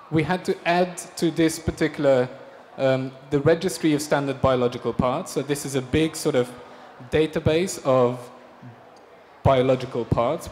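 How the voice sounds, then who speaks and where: Man speaking in a reverberant hall. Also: medium pitch. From Belgium. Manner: relaxed, hesitant with many ums. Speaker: speaker presenting design projects. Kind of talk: conference talk. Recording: reverberant hall with faint noise.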